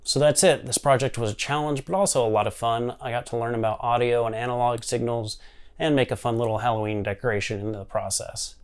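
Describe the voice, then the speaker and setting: A man's voice: medium pitch, steady tone, a little fast, slight background noise. A man presenting a hobby electronics project in an explanatory tutorial style. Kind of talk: tutorial narration and screen demonstration.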